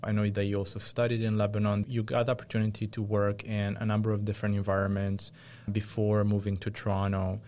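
A man hosting an interview, asking long, deliberate questions in a conversational, attentive manner. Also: fairly low pitch, monotone, darkish tone, slight background noise. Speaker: interviewer, host.

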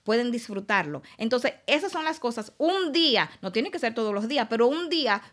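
Woman, Dominican accent, podcast guest, salesy, animated, repeats herself, higher pitch. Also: fairly quick. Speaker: podcast guest, product consultant. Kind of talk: casual podcast chat.

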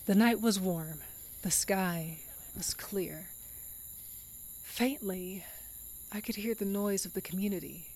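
A woman's voice: medium pitch, takes it slowly, pauses often, a little mellow. An actress playing a grieving, bitter widow who hunts monsters, telling her story in an intense audio drama. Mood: bitter, numb. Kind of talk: first-person audio drama narration with acted scenes.

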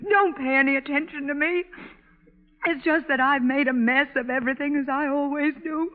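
A woman's voice: sits high, fairly even intonation, normal speed, lightly acted. An actress playing an eager, impulsive, self-doubting young woman, earnest and emotional, in 1940s radio drama style. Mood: flustered, hurt. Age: young adult. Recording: phone-like old 1940s radio broadcast.

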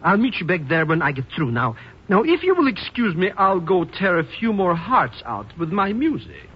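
Excitable little schemer, swooping high. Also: male voice, moderate pace, breathy edge, full character voice. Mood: wheedling, sly. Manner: mangled grammar, wheedling, self-important. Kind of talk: 1950s radio drama acting. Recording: muffled, hissy old radio recording.

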